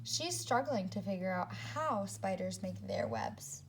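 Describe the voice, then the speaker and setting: A woman teacher reads a picture-book story to young children in an expressive, deliberate way. Her pitch moves in lively swings and she takes her time over each phrase. There is faint noise behind her voice. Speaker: teacher reading aloud to second graders. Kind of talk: read-aloud storytelling with asides to children.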